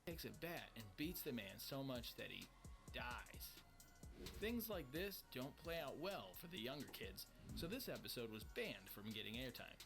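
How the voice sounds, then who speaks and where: A man reads a scripted countdown in an even, read-aloud manner. His pitch moves a lot within his sentences. Clear background music runs under the voice. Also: normal speed. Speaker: list video narrator. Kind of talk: scripted narration.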